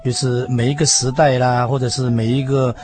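A man, a preacher explaining haltingly, phrase by phrase, as an interview guest. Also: medium pitch, little pitch movement, a little mellow. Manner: halting, phrase-by-phrase with trailing particles. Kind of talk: explanatory religious talk.

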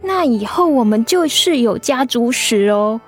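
An actress playing a pouty, affectionate high-school daughter in a radio drama, girlish and frank. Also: lively intonation, measured pace, breathy edge, soft background music. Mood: curious, frank.

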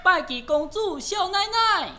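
A woman's voice: very high voice, expressive, unhurried, heavy background noise. A woman playing an eager, deferential young servant boy, perky and obsequious in Taiwanese opera style. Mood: eager, obedient. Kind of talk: Taiwanese opera radio drama acting.

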